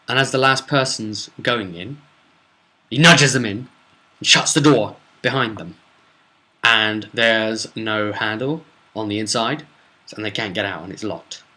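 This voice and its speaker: A man casually recounting a tabletop role-playing session as game master, telling it in an informal, animated way with dramatic emphasis. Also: lowish voice.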